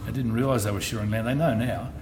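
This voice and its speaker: A middle-aged man with an Australian accent, an insurance executive giving a presentation at a public meeting, measured and often restarting his phrases. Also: lowish voice, fairly even intonation. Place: meeting hall. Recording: noisy meeting room recording.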